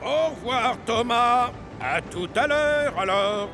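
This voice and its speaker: A man voicing a boastful, scheming diesel engine in a children's cartoon, with a gravelly, bossy, mocking delivery. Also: very high-pitched, lively intonation, takes it slowly, over music, full character voice. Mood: smug, eager. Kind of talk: animated children's cartoon dialogue.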